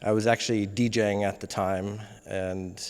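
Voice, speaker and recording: Low-voiced man, hesitant and halting. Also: little pitch movement, darkish tone, smooth. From Indonesia. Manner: hesitant, many fillers and restarts. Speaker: film editor, guest. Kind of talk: casual interview chat. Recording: long reverb on voice.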